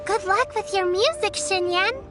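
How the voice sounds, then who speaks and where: A sweet, very high little girl. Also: a little slow. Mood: sweet, eager. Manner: sweet, polite and eager. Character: sweet, polite little girl, earnest and caring. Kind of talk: game cutscene voice acting. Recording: game audio with loud background music.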